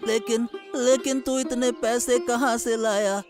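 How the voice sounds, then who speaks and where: A slow, monotone cartoon village uncle voiced by a woman, ailing and puzzled. He questions hesitantly over clear music. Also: fairly high pitch. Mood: puzzled, weak. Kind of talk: cartoon story dialogue.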